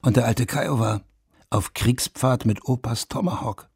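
A man's voice: medium pitch, measured pace, creaky, darkish tone, slightly rough, no echo, exaggerated voice. An actor playing a reflective, haunted war veteran and writer in his forties, narrating intimately in a radio drama. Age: middle-aged. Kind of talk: German radio drama first-person narration. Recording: studio radio drama over guitar music.

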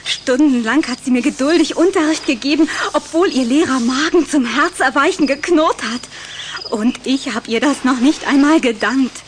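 Woman playing a coquettish, high-voiced young vampire girl. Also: normal speed, slight background noise, natural voice. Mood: dramatic pity.

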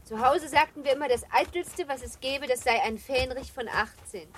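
Young noblewoman role for an actress, radio play: high voice, genteel, coquettish, teasing. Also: moderate pace, slight background noise, natural voice. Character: playful, teasing young married noblewoman, about seventeen. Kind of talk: German literary radio drama acting.

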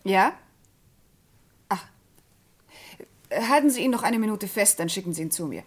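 A woman's voice: mid-range voice, lively intonation, normal speed, noisy background, natural voice. An actress playing a guarded, self-possessed woman art dealer who answers in composed, evasive, clipped replies in a radio crime play. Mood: composed, polite. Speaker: radio drama actor.

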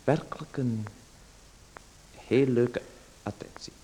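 An actor in a radio play voicing a timid young artist who hesitates, stammers and apologizes. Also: male voice, mid-range voice, fairly even intonation, takes it slowly, warm tone, a little hiss or hum, close to an everyday voice, low-quality recording. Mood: nervous.

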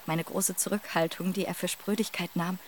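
A woman's voice: on the low side, steady tone, moderate pace, a little hiss or hum, close to an everyday voice. An actress in a radio play voicing a young noblewoman who speaks of her misfortune with formal, earnest sorrow. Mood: sorrowful.

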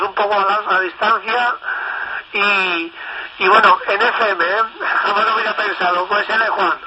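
A man chatting casually over CB radio, enthusiastic and rambling, often repeating himself. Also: sits high. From northern Spain. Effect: FM CB radio transmission. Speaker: amateur CB radio operator. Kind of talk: casual radio contact chat. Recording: noisy, dull CB radio transmission. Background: loud radio static and noise.

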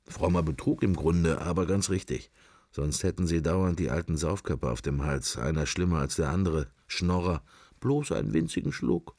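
A man's voice: low-pitched, vocal fry, darkish tone, no room sound, clean studio sound, exaggerated voice. An actor playing a wry, sceptical middle-aged man murmuring his fragmentary private thoughts in a radio drama. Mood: wry musing. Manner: murmured, fragmentary, associative musing. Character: musing middle-aged man, wry, sceptical, worldly, silently observing church. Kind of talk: radio drama interior monologue.

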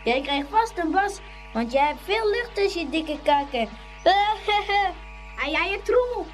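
An actor voicing a chattering young schoolboy in a Dutch radio play, lively and full of teasing banter. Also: very high voice, unhurried, soft background music.

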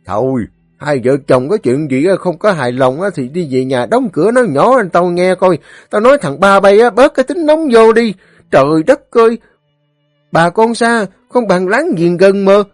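Guy doing an old southern vietnamese village uncle, firm n fair, lecturing, lively swings, storybook. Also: mid-range voice, moderate pace, no echo, studio quality, faint music behind, pitched above the performer's own voice, close to an everyday voice. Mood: pleading, admonishing. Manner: admonishing, plain-spoken rural speech.

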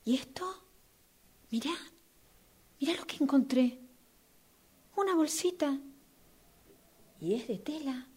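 A woman playing an excitable, hesitant, pious woman in a radio play, with a husky voice and wide-eyed exclamations. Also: sits high, takes it slowly, pauses often, pitched above the performer's own voice. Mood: curious wonder. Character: excitable, hesitant, pious working-class woman finding money.

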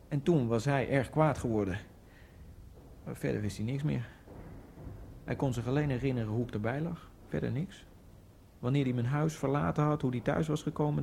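A male actor as a murdered man's ghost haunts his killer in a radio drama monologue. He is wry and brooding, telling his story intimately and dramatically in a middle-pitched voice. Faint noise sits behind him. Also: vocal fry, natural voice. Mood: astonished, agitated, then hushed, grim. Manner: wry, dramatic, shifts from hushed to agitated outbursts.

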